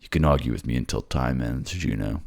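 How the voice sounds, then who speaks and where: A man's voice: a bass voice, fairly quick, creaky, full character voice. A man voicing a grief-stricken, foul-mouthed male king with a bitter, raw way of talking. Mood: defiant.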